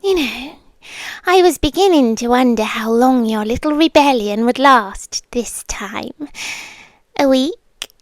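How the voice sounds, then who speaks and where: A woman's voice: high voice, animated, no echo. A British woman acting out a possessive, ancient supernatural girlfriend in an ASMR roleplay, teasing and smug, with intimate, menacing delivery that often drops toward a whisper. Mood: teasing, commanding. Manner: slow, intimate, taunting, often dropping to a whisper.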